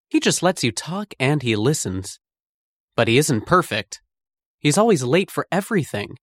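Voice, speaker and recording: A man reading a scripted textbook monologue about a friend, in a lively, even storytelling manner. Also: fairly high pitch, dry recording, studio quality.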